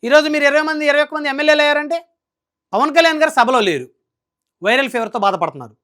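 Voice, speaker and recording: A man delivers political news commentary at a rapid clip. He is emphatic and scolding. He often presses his point with rhetorical questions. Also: extremely high pitch, sharp tone.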